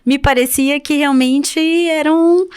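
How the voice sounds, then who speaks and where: Higher-voiced Brazilian woman interviewing. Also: steady tone, slow pace, darkish tone. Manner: affirming, short agreeing interjections. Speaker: podcast host, interviewer. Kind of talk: casual interview.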